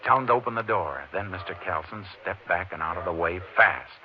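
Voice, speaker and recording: An actor playing a tough, cool-headed Texas Ranger in a 1950s radio western, terse and commanding. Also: male voice, low-pitched, expressive, brisk. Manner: terse, commanding, hard-boiled. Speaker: actor in the lead role. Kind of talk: 1950s radio western acting with first-person narration. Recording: dull, noisy old radio recording.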